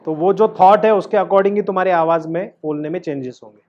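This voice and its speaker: Male acting coach, fairly high voice, instructive and questioning, slightly dull recording. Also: fairly quick, darkish tone. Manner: instructive, emphatic, frequently questioning the student. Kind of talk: teaching an acting class with demonstrated lines and questions.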